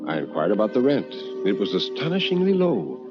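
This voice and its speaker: A man reading stories in a measured, formal old-time radio storytelling style. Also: lowish voice, huge pitch swings. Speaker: story reader. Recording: muffled radio recording, faint music.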